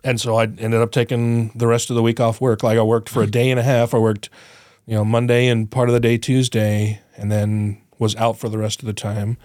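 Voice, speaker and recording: A man chatting casually on a podcast, his voice still a little congested and nasal. He rambles, swears freely and laughs often. His voice is medium-pitched, recorded close and dry. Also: flat delivery, brisk, a little mellow, no echo. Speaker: podcast co-host. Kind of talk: casual chat.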